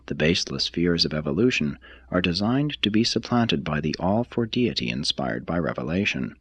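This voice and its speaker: Very deep-voiced man reading formally. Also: big pitch swings, normal speed, a bit bright, husky, no room sound, muffled recording. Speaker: reader of a text. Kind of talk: scripted reading, audiobook-like narration.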